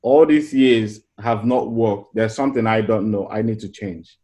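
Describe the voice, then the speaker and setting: A man's voice: on the low side, normal speed, mellow. A middle-aged man giving an informal motivational class as a life coach, earnest and conversational, often restarting phrases and asking rhetorical questions.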